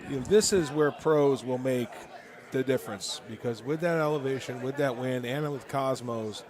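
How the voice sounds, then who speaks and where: A man in an announcer's booth calls arcade golf shots in a relaxed, joking way. His voice sits at an ordinary middle pitch. A crowd chatters clearly behind him at the event. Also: normal speed. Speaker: play-by-play commentator. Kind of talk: live esports commentary. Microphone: booth microphone. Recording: busy event with crowd noise.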